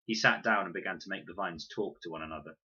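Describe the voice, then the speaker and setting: A man reading a children's novel aloud in an expressive narration style. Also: sits low, fast, husky, lo-fi sound. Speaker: audiobook narrator, reader.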